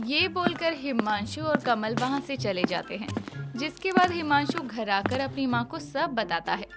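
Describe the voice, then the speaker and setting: A woman's voice: sits high, big pitch swings, unhurried, over loud music. A woman narrating a scripted story as its narrator.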